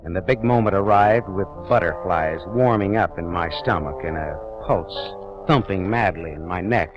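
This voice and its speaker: An actor playing a hard-boiled private detective who narrates in terse, dry first-person lines in a 1940s radio drama. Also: male voice, deep voice, normal speed, lightly acted. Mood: tense. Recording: dull vintage radio recording with music.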